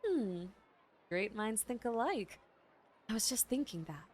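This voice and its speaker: A woman streamer giving casual, expressive and gushing reactions while playing a game. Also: a little high, a little slow, slight background noise. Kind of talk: casual gaming reaction commentary. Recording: game music behind.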